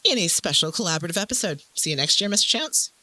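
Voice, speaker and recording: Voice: mid-range voice, lively intonation, quick pace, pushed and bright, no room sound. A performer playing an enthusiastic, articulate woman arts critic hosting a review show in an audio drama. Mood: animated, amused.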